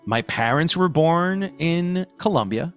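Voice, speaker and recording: An American man co-hosting a podcast, talking through a story in an emphatic, reflective way with dramatic pauses. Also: on the high side, expressive, soft background music. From Queens, New York, United States. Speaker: podcast co-host. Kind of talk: conversational podcast analysis.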